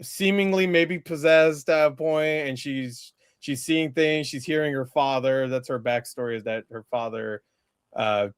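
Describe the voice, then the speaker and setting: A man's voice: mid-range voice, unhurried, clear, resonant tone, no room sound, studio quality. A man chatting casually as a co-reviewer of a film, slangy and questioning with an incredulous manner.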